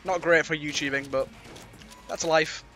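A British man with a cold and a slightly raspy voice, giving casual, playful, mildly sweary let's-play commentary. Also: fairly high pitch, steady tone, unhurried, sharp tone. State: has a cold. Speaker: gaming YouTuber. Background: game music.

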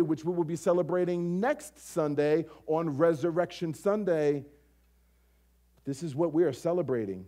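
A man's voice: a little high, mellow. An American man preaching a sermon as a pastor, emphatic, leaning on repetition and rhetorical questions. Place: church stage at a lectern, indoors.

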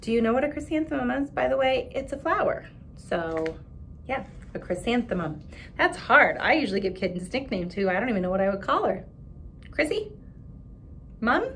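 A woman in her thirties, a teacher giving an expressive children's read-aloud with chatty asides, emphatic and speaking directly to the kids. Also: moderate pace, pauses often. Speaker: teacher reading a picture book aloud. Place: small indoor room with bare wall. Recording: steady background noise with low rumble.

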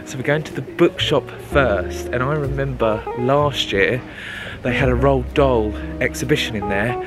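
A man's voice: mid-range voice, normal speed. A young man with an English accent talking enthusiastically and casually to a camera held at arm's length while out and about. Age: young adult. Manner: enthusiastic, chatty. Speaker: travel vlogger. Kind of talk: casual vlog chat to camera. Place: outdoors in castle grounds and parkland, some inside a shop. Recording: music playing behind the voice.

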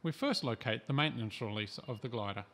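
A man from Australia reading a scripted training voice-over in a calm, measured, instructive way. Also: mid-range voice. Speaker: instructional narrator.

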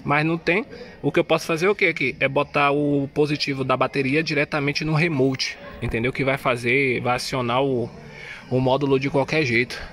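A man's voice: medium pitch, fairly even intonation, brisk, a bit bright. A man with a Brazilian accent, chatting slangily and thinking aloud as he installs a car sound system, filmed on a phone inside the car. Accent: Brazilian Portuguese. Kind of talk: casual hands-on DIY narration. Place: inside a car, working on its wiring.

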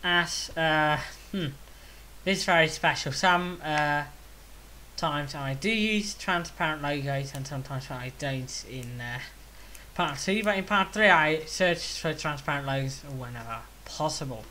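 A young man giving casual, unscripted video commentary in a halting way, thinking aloud. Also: a little high, bright, forceful tone. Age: young adult. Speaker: video creator commenting on viewer feedback. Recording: hissy home recording.

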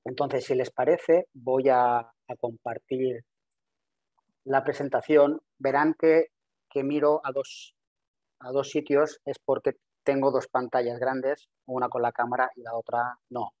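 A man in his thirties presents a webinar over a video call in a language that is not his first. He talks quickly, often restarting and repeating words as he goes. His voice sounds dry and close, at an ordinary pitch. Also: little pitch movement, darkish tone, dry recording, low-quality recording. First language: not Spanish. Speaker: webinar presenter, systems engineer. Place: home office with virtual background. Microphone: video call webcam.

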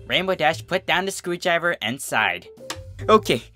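Animated, bright-voiced storyteller over music. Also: mid-range voice, moderate pace. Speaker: fan fiction reader. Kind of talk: scripted story narration.

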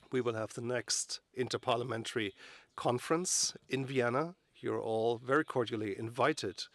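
A man giving simultaneous interpretation of a conference speech in a steady, formal manner. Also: mid-range voice, no room sound.

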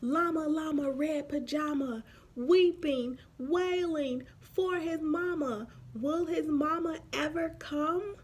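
A woman in her thirties reading a picture book aloud expressively for young children, pausing for explanatory asides. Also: high-pitched. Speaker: children's story reader. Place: small room at home, indoors.

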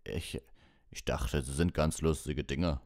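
A huge, kindly storybook gamekeeper, played by a man with a very deep voice. He talks in simple, folksy, slightly halting phrases, like a bumbling new teacher. The sound is dry and close. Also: darkish tone, no room sound, heavily acted. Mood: unsure, gentle.

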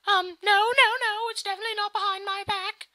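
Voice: extremely high pitch, level tone, brisk, sharp tone, exaggerated voice. An amateur performer voicing a nervous, evasive old grandmother, hesitant and fretful, in a video game parody.

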